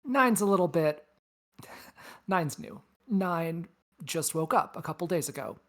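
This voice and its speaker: Low-voiced, wry big-sister space probe played by a woman. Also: expressive, slow pace, a bit bright. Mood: breezy, friendly. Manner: teasing, sardonic, casual. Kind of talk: table read of a science fiction story.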